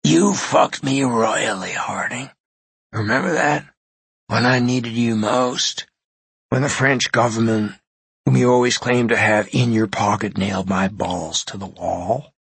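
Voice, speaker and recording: A man voicing a vengeful older villain who taunts his victim in a slow, low, half-whispered gravelly voice. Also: expressive, pushed and bright, no room sound, full character voice. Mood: bitter. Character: cold, menacing old male avenger.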